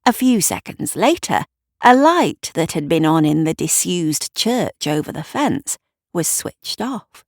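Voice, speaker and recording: A woman narrating an audio drama in a deliberate, wry, conspiratorial storytelling style. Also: lowish voice, very animated, no room sound, clean studio sound. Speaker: writer and narrator.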